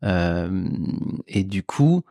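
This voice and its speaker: A man's voice: sits low, very animated, drawn-out words, dark tone, no echo, studio quality. A man in his forties, a podcast guest talking casually and reflectively, with informal asides and emphatic repetition.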